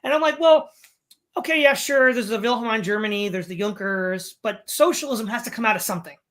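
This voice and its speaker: Bright-voiced woman in podcast discussion. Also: a little high, fairly quick. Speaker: podcast interviewer. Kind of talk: opinionated interview discussion.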